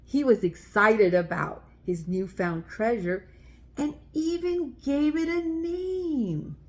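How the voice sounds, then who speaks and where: A woman's voice: on the high side, takes it slowly. Woman reading aloud to young children. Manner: addresses young listeners directly with simple explanations. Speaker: children's story time host and author. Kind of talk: children's read-aloud storytelling.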